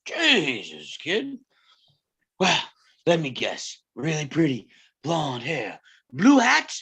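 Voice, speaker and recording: A man's voice: wildly swooping pitch, gravelly, pushed and bright, husky, heavily acted. A man reading the part of a brash, wisecracking middle-aged circus owner with a blunt, joking manner. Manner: joking, blunt, fast-shifting pitch. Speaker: table read actor. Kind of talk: live table read acting.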